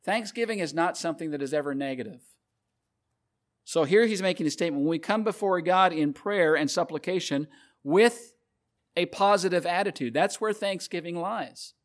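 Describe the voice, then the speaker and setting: Male preacher, earnest and measured, slightly higher voice. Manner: earnest, repeats scripture references, measured emphasis. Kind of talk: sermon.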